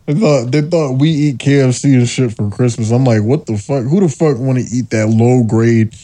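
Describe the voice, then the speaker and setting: A man hosting a casual podcast, joking crudely and laughing loudly and often. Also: medium pitch, normal speed, dry recording. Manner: crude joking, frequent loud laughing, animated. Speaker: podcast host.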